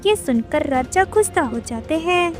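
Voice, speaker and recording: A woman's voice: sits high, expressive, unhurried. A woman reading scripted story narration for a children's tale, with music behind her.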